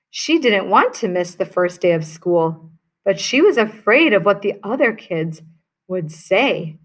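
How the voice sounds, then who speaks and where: A woman teacher reading a children's picture book aloud to her class, telling it with expression. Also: fairly low pitch. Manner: slow, expressive storytelling.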